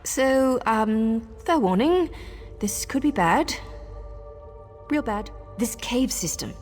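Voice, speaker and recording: A woman's voice: fairly high pitch, animated, takes it slowly, a little mellow, big-room sound, faint music behind. An actress voicing a wry, roguish adventurer woman with a sordid past in a video game, sly, teasing and conversational. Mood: worried.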